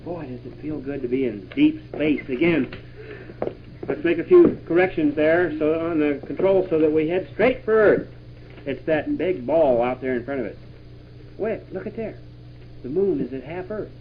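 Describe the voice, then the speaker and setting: A man playing an excitable astronaut commander in an improvised pretend radio play, exclaiming and giving urgent orders. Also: a little high, moderate pace, natural voice. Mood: relieved, instructive. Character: excitable male astronaut commander leading a moon trip. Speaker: father playing with his children. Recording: muffled, very noisy 1960s home tape.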